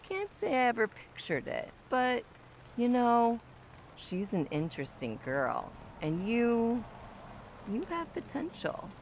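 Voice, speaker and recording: A woman's voice: fairly high pitch, wildly swooping pitch, slow pace, a little hiss or hum, full character voice. A woman playing a tipsy, nosy and pushy young neighbour in a comic audio drama, chatty, teasing and insistent. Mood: teasing, probing. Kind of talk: audio drama comedy acting.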